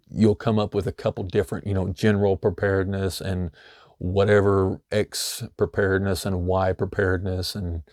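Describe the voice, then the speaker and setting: A middle-aged man with a low, warm voice, a bit hoarse as if losing it. He talks through practical advice in a loose, easygoing way, leaning on "you know" as he goes. The sound is dry and close. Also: no echo. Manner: loose and conversational, many "you know" fillers. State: slightly hoarse, losing his voice. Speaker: homesteading channel host. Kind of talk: casual instructional talk.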